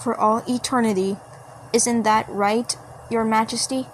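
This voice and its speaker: A woman doing a slow, smug, sinister mushroom creature. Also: fairly high pitch, fairly even intonation, slight background noise, voice pushed down, close to an everyday voice, poor audio. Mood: sinister reassurance. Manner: soothing menace, repeats phrases. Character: smug sweet-toothed male mushroom creature, sinister tea party host.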